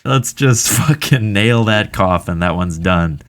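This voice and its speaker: A man's voice: on the low side, animated, slow pace, fairly bright tone. A man co-hosting a podcast in casual banter, with a wry, self-deprecating sense of humor. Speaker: podcast co-host.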